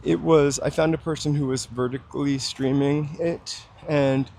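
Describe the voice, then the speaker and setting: A man's voice: fairly high pitch, steady tone, moderate pace, vocal fry, darkish tone. Man, casual rambling livestream chat, slightly froggy voice, outdoor street, faint background noise. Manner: rambling, chatty, filler-heavy. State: slightly froggy voice, dry cough. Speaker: livestream walking host. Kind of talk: casual chat to live viewers while walking.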